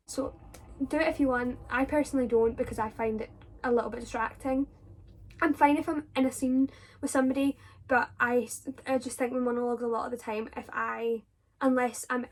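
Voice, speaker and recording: Chatty young Scottish woman. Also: fairly high pitch. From Scotland. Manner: chatty, with frequent fillers and self-corrections. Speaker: drama student vlogger. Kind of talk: casual Q&A advice to viewers.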